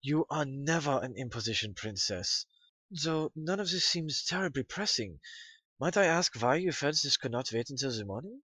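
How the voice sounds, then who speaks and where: Man acting husky, deferential scholar pony. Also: medium pitch, lively intonation, normal speed, bright, forceful tone, no room sound. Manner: polite, hesitant, bookish. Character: earnest, deferential scholarly male pony researcher. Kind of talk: scripted audio drama acting.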